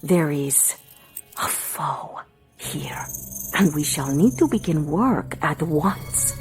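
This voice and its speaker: A woman playing a commanding, mystical palm reader in an audio drama, coaxing with formal phrases and dramatic, hushed pauses. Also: sits low, expressive. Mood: ominous, urgent. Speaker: fortune teller. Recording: music under the voice.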